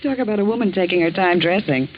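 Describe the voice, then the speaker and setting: A woman's voice: fairly low pitch, fast talker, a little hiss or hum, close to an everyday voice. A woman playing a perky, teasing grown daughter in a flippant 1940s radio drama style. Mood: playful. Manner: lively, flippant. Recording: dull 1940s radio recording.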